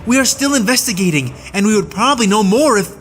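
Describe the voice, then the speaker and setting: A man voicing a sincere young woman knight who pleads quickly and earnestly in an audiobook. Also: sits high, lively intonation, fairly bright tone, soft background music, pitched above the performer's own voice. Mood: pleading. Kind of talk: audiobook reading.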